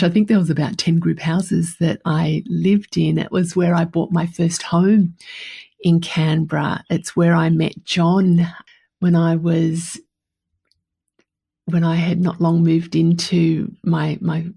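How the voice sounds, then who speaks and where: A woman in her fifties with an Australian accent hosts a personal podcast. Her voice is lowish and husky with a gravelly creak. She talks in a candid, chatty way, thinking aloud and repeating words for emphasis. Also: dark tone. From country New South Wales, Australia. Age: 50s. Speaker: podcast host. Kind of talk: personal reflective monologue, casual.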